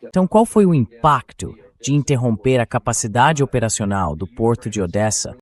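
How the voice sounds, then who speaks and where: A man's voice: medium pitch, animated, normal speed. A man hosting a political discussion in a translated voice-over. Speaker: host.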